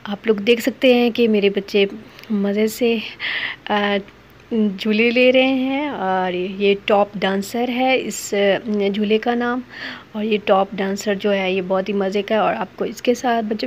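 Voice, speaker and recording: Casting: female family vlogger, mid-pitched voice, conversational and hesitant, recorded with clear ambient noise behind. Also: darkish tone. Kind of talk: casual vlog narration. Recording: noisy ambient background behind voice.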